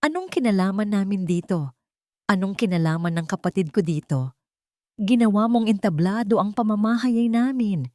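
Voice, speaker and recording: A woman's voice: mid-range voice, darkish tone, no echo, clean studio sound, natural voice. A woman voicing a heartbroken young woman who bitterly accuses her lover in an audio love story. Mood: angry, tearful. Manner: accusing, wounded, emotional.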